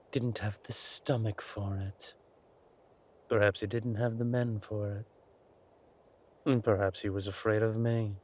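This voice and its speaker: An actor playing a smug, menacing villain king in an intimate audio roleplay, speaking deliberately and theatrically to a beloved consort. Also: lowish voice, a little mellow. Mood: gloating, fond. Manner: slow, deliberate, theatrical, breathy asides.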